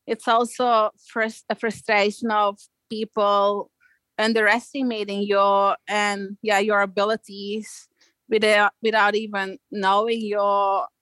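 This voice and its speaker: A woman's voice: mid-range voice, a bit bright, dry recording. A woman from Belgium with a Belgian accent, telling personal stories in a casual interview, using informal surf slang. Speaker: podcast guest, amateur big wave surfer.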